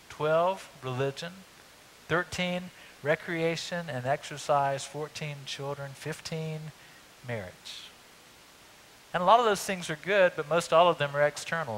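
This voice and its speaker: A middle-aged man preaching a sermon on a church stage, talking in a conversational way with lively emphasis. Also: fairly high pitch, poor audio. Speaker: pastor. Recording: faint hiss.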